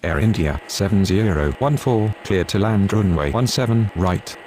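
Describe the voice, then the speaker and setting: Casting: male actor playing a businesslike aviation radio man for a game, low register, clipped phrasing, slight background noise. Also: expressive, moderate pace, a little mellow, close to an everyday voice. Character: male pilot and controller on airport radio, businesslike. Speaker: air traffic radio voice in a mobile game.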